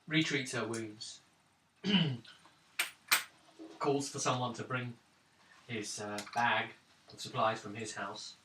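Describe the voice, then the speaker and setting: A man narrating a tabletop role-playing game as game master, with halting, improvised phrasing. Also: medium pitch, sharp tone. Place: indoor room around a gaming table. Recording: roomy, distant mic, faint noise, clicks.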